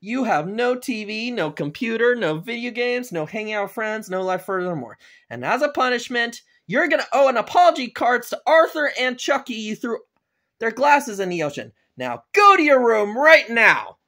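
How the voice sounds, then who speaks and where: Performer as furious cartoon father, scolding, exclamatory, animated pitch, forceful. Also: normal speed, lightly acted. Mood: stern, angry. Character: angry scolding fathers of young boys, loud and dramatic. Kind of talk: amateur animated grounding video dialogue.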